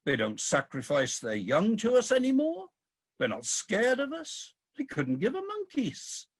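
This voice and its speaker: A man's voice: high voice, huge pitch swings, measured pace, breathy edge, heavily acted. An actor playing a weary, disillusioned ageing king of the gods in a comic radio play, with a grumbling, theatrical delivery. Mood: disillusioned, exasperated.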